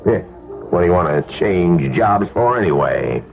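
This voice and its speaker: A man's voice: low voice, animated, normal speed, vocal fry, music in the background. An actor playing a glib, slangy, evasive middle-aged businessman with a breezy, blustering way of talking, in a 1970s radio drama. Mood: dismissive, glib. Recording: tinny old radio broadcast recording.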